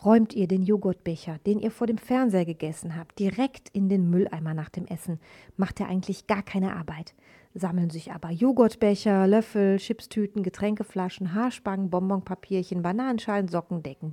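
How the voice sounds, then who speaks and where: A woman's voice: mid-range voice, normal speed, dark tone. A woman presenting scripted tips for children on the radio.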